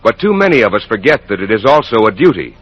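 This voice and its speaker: An American male announcer reads a scripted public service message. His voice is husky with animated intonation, on a dull old radio recording. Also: on the low side, fast talker. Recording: muffled old radio broadcast.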